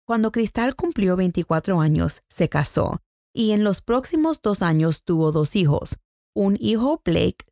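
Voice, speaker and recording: A woman's voice: medium pitch, mellow, no echo, clean studio sound. American woman hosting a true crime podcast. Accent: American English. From United States. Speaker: podcast host.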